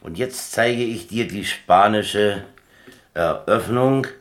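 An actor playing a worldly, plain-spoken prison inmate in a radio drama, explaining things in a colloquial, laconic, matter-of-fact way. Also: male voice, lowish voice, slow pace. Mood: reassuring, confident.

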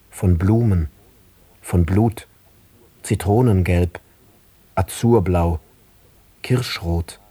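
A man narrating literary prose for a radio drama in a husky voice. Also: low-pitched, warm tone, no echo.

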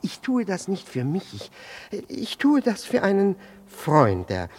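An actor playing a flustered, over-polite jealous husband in a 1960s German radio play, stammering, repeating words and breaking off mid-sentence. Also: male voice, high voice, huge pitch swings, a little slow, darkish tone, slightly rough, heavily acted. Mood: agitated denial.

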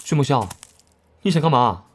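A male actor playing an excitable, easily exasperated young gamer in a Chinese radio drama, given to animated exclamations. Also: medium pitch, normal speed, slightly rough. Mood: wary, indignant. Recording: background music under the voice.

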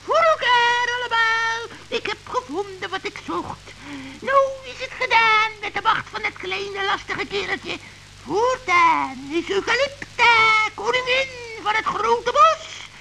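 A woman's voice: slow, creaky, bright, forceful tone, recorded from a distance, a little hiss or hum, full character voice, poor audio. A woman voicing a scheming, gloating female witch in an old children's radio play. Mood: triumphant. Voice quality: shrill, gloating.

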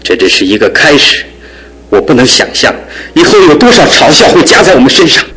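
An actor in a radio drama playing a despairing young man crippled in one leg, his talk swinging from hushed to anguished outbursts. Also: fairly high pitch, sing-song, brisk, breathy edge, heavily acted, rough recording. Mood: bitter. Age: young adult. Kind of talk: broadcast radio drama acting.

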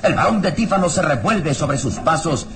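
A man's voice: on the high side, lively intonation, moderate pace. A man narrating a radio drama from a script in a theatrical, ominous and florid manner.

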